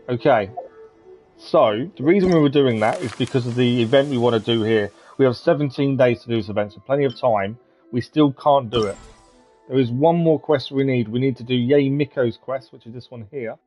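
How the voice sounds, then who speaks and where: A man's voice: medium pitch, darkish tone. A man giving casual live commentary as he streams a video game. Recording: dull lo-fi stream mic, game music. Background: game music.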